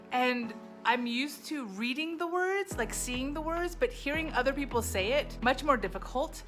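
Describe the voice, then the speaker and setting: A woman's voice: on the high side, a bit bright. A woman vlogger whose first language was Japanese, talking through her language-class experience in a candid, self-deprecating way, often repeating short phrases. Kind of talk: casual vlog reflection.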